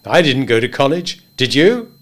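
A man's voice: medium pitch, big pitch swings, moderate pace, close to an everyday voice. A man voicing a jovial older male draft-board official who talks in a breezy, coaxing way. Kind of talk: audiobook character voice.

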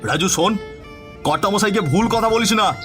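A man's voice: high voice, expressive, moderate pace, over music. A man playing a stubborn village farmer in a cartoon, indignant and insistently argumentative.

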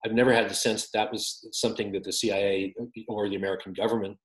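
A middle-aged man talks over a video call, stumbling through restarts and fillers as he answers. His voice sits a little low. The room around him rings with echo. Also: flat delivery. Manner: halting, many restarts and fillers. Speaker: author guest. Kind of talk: casual interview answers. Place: home room with windows. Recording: roomy echoey call audio.

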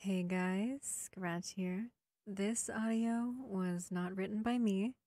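A woman who hosts an audio channel, giving a casual outro in a quiet, low-key way. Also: steady tone, slow pace, no echo, studio quality. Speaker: voice actor, channel host.

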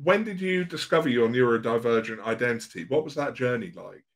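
A man in his mid-thirties hosts a podcast conversation, warm in his questions but a little hesitant, filling gaps with ums and uhs. His voice sits in an ordinary middle register. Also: medium pitch, lively intonation, brisk. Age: 30s. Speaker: podcast host. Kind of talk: casual podcast interview.

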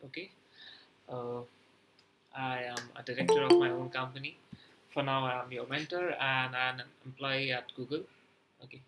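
A man's voice: mid-range voice, level tone, normal speed, long pauses, loud music behind the voice, rough recording. A man from West Bengal, mentoring students in a loose, conversational online class full of okays and uhs. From West Bengal, India. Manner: loose and conversational, many fillers like okay and uh. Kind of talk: online class intro and lecture.

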